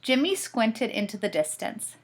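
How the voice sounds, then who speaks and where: A woman reading a bedtime story aloud to children with expressive narration. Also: on the high side, measured pace. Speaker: storybook reader.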